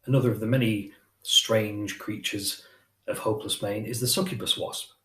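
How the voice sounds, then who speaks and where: A man's voice: lowish voice, fairly even intonation, normal speed, fairly bright tone, reverberant. A man giving a dramatic reading of poetry, with a short spoken introduction. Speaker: poetry reader.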